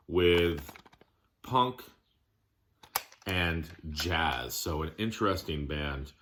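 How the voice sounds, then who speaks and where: A man giving a casual show-and-tell of his collection, plainspoken, listing items in short, halting phrases. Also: sits low, sharp tone, slight background noise.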